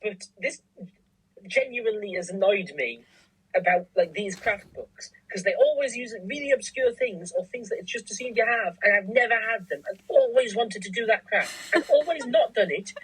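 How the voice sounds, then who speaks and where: A woman's voice: mid-range voice, expressive, normal speed, husky, no room sound, rough recording. A woman bantering casually and wryly as co-host of a craft show.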